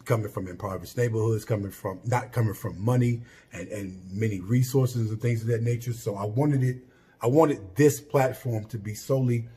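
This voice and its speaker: A loud-voiced man hosting his own podcast, speaking in a long earnest monologue. His voice sits low and sounds warm and mellow. He presses his points with emphasis and often circles back to restart a phrase. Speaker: podcast host.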